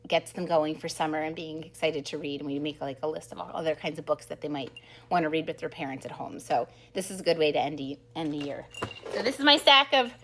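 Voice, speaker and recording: A middle-aged woman with a slightly low voice chats casually and eagerly about books like a schoolteacher. She has an enthusiastic, giggly way of talking. The recording carries a faint humming noise. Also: fast talker, a bit bright. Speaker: second grade teacher presenting book recommendations. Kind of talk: casual book talk to camera.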